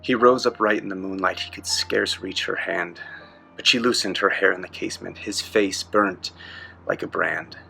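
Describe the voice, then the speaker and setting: A man's voice: sits low, moderate pace, gravelly, bright, forceful tone, faint music behind, low-quality recording. A man giving a dramatic reading of a poem. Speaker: poem reader.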